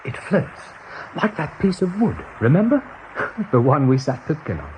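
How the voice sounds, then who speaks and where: Lively rabbit leader, noisy recording. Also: male voice, on the high side, moderate pace, lo-fi sound. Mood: curious. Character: friendly, level-headed male rabbit leader. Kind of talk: radio play acting. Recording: noisy old radio play recording.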